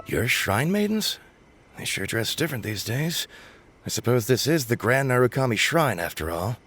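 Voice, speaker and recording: A man's voice: mid-range voice, big pitch swings, moderate pace, fairly bright tone, breathy edge. A man playing an earnest, devout and uncertain shrine visitor in a video game, musing politely and hesitantly. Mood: unsure.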